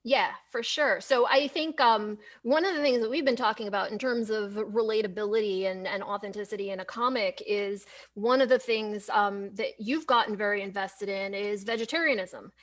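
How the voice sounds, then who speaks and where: A woman's voice: mid-range voice, moderate pace, few pauses, fairly bright tone. A woman hosting a casual interview in a conversational way. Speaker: interviewer, host.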